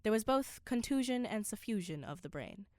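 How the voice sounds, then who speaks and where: A woman's voice: on the high side, lively intonation, moderate pace, a little mellow, no room sound, studio quality, lightly acted. A woman playing an earnest scholar who narrates an undersea voyage in a radio play, with precise, literary, dramatic delivery. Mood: measured, puzzled.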